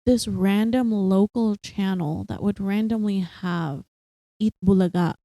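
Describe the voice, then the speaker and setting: A young woman co-hosting a casual chat podcast, animated and quick to laugh, full of fillers. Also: medium pitch, dry recording. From Philippines, raised partly in Singapore. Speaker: podcast co-host.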